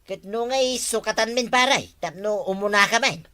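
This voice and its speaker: A man's voice: sits high, moderate pace, pushed and bright, smooth. A man with an Ilocano accent playing a grumbling, wheedling old villager in a broad comedy. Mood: complaining. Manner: complaining, insistent. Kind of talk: broad barangay comedy acting.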